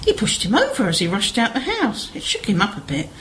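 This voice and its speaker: A woman's voice: mid-range voice, wildly swooping pitch, a little fast, fairly bright tone, slight background noise, low-quality recording. A woman acting a chatty, concerned village sister in an amateur radio play, gossipy and persuasive. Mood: confiding, worried.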